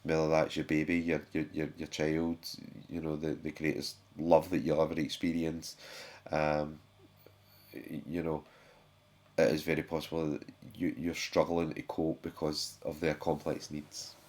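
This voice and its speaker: A British man with a sore throat, his voice sitting very low. He talks casually and haltingly to his viewers, with frequent fillers and self-corrections. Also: completely flat delivery, a little mellow. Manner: chatty, halting, many fillers and restarts. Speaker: YouTube channel host. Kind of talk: casual talk to camera.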